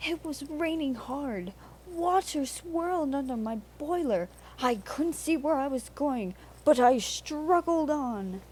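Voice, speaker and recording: A woman's voice: high voice, animated, slow, a little mellow, voice pushed up. A woman voicing a cheeky, conceited young male steam engine in a children's storybook. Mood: dramatic boasting. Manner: conceited, playful boasting.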